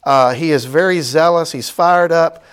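Middle-aged male Bible teacher with a somewhat high voice and an easygoing, rambling lecture delivery. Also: normal speed, clean tone. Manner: conversational, many fillers and restarts. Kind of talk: casual Bible study lecture.

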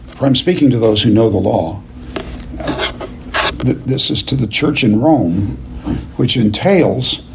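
A man teaching a Bible class verse by verse, speaking in a deliberate, halting and emphatic way and putting questions to his listeners. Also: medium pitch, a little hiss or hum. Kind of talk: expository lecture to a class, verse by verse.

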